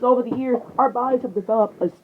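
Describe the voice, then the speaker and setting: A woman's voice: a little high, rapid-fire, breathy edge. A woman improvising a story in dialogue, with slangy, profane, stumbling delivery, as a casual creator. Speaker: fan fiction storyteller and channel creator. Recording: noisy muffled lo-fi recording with clicks.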